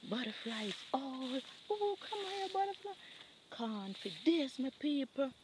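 A Jamaican woman vlogging on a farm, chatting in a lively, exclaiming way while filming on a handheld phone outdoors. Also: high-pitched, unhurried, background noise. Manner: lively, exclaiming, repeats catchphrases. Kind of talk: casual farm tour chat. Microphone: handheld phone camera.

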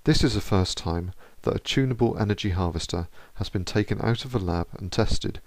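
A man with a low voice and a touch of fry narrates in a steady, measured manner, like a researcher explaining his project. The recording is dry and close, with no room sound around him. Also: no room sound. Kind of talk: scripted narration.

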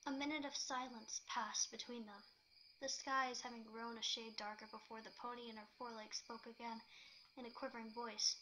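A woman's voice: a little high, flat delivery, normal speed, bright, forceful tone, slight background noise, poor audio. A woman reading fan fiction aloud as dramatic scripted narration.

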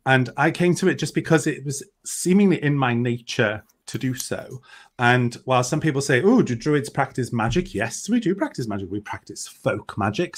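Welsh man, middle-aged, native Welsh speaker, upbeat panel guest on a video call. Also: mid-range voice. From Wales. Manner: enthusiastic, self-deprecating humour. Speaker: author and druid, panelist. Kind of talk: casual panel talk. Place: home room.

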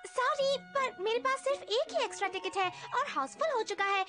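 A woman's voice: very high-pitched, animated, normal speed, over loud music. A woman voicing a sweet, determined little girl in a cartoon dub, with a coaxing manner. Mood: hopeful coaxing.

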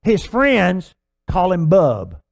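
An older man preaching a sermon, telling a long illustration story on a church stage with lively gestures. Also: sits high, drawn-out words, gravelly. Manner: animated, gesturing storyteller with filler restarts. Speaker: pastor. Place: large church stage with purple lights.

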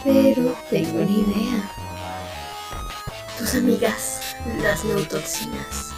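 A woman voicing a cold, sarcastic female supercomputer in a Spanish fan dub of a video game, measured and vindictive. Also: mid-range voice, huge pitch swings. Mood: cold sarcasm. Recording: music behind the voice.

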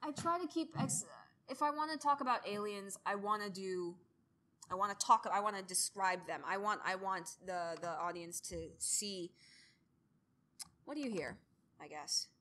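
A woman's voice: moderate pace, pushed and bright, echoey room. A young woman talking casually as a podcast creator presenting their own series, at a desktop microphone. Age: young adult. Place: indoor stage, dark backdrop. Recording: noisy room with low rumble.

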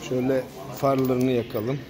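Man, monotone, matter-of-fact, phone-filmed showroom walkaround, crowd chatter behind. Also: medium pitch, normal speed. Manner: plain, matter-of-fact pointing out of details. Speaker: motorcycle reviewer. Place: indoor motorcycle showroom. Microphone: handheld phone camera.

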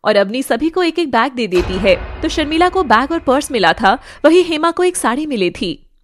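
Lady telling a family story, pretty high voice, brisk. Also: big pitch swings, soft background music. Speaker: narrator. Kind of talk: storytelling narration.

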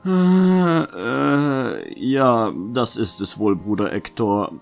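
Man acting a gravelly, reluctant noble knight. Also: mid-range voice, very animated, soft background music, full character voice. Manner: halting, formal. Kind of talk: fantasy audio drama acting.

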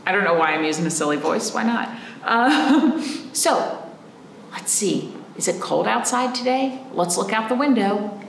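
A woman in her thirties, a children's librarian, reading a picture book aloud in an animated, playful way and asking the children questions. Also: mid-range voice, unhurried, vocal fry, reverberant, a little hiss or hum. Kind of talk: storytime read-aloud for children. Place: library room in front of a painted wall.

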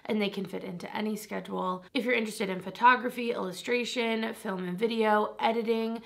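A young woman with a raspy voice chatting to camera about beauty products in a bubbly, rambling way, laughing often. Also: mid-range voice, fairly even intonation, a little fast, fairly bright tone. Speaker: beauty vlogger. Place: small decorated room indoors.

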